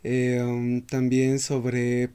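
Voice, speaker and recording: A man hosting an interview, greeting the audience and asking questions in a relaxed conversational way with fillers. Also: medium pitch, extremely slow, clean tone. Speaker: interview host. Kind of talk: casual interview.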